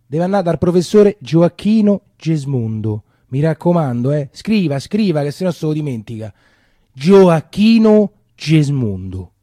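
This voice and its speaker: An actor voicing a bossy but reassuring Roman communist neighbour, urging and repeating himself emphatically in a stage monologue. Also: male voice, on the high side, very animated, a little slow, warm tone, no room sound, clean studio sound.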